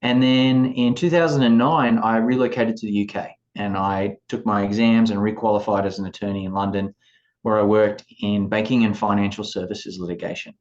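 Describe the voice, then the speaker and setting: A man in his forties with an Australian accent, giving a measured, explanatory talk to students as an admissions advisor over a video call. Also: on the low side, level tone, darkish tone. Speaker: law school admissions advisor. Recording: dull lo-fi video call audio.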